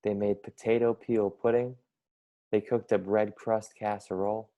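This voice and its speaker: A man's voice: fairly low pitch, level tone, a little slow, mellow. A young man narrating a children's picture book as a scripted read-aloud. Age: young adult. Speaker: storybook reader, college student.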